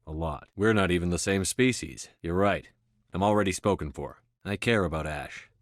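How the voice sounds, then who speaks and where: A man's voice: sits low, normal speed, no room sound, clean studio sound, close to an everyday voice. A man voicing a resolute, earnest human starship commander in a video game, firm and clipped in his delivery. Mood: matter-of-fact. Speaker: voice actor. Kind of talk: video game dialogue voice acting.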